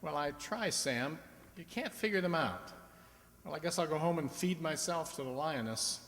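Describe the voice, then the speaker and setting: A man's voice: a little high, animated, normal speed, fairly bright tone. A man playing a weary middle-aged husband who complains and sighs, in old-time radio drama style. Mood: weary, complaining. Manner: complaining, sighing. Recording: echoey hall stage recording.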